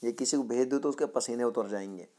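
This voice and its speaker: A man's voice: medium pitch, fast, warm tone, slight background noise. A man with an Indian accent hosting a casual voice-over app tutorial. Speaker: host of a tech tutorial channel.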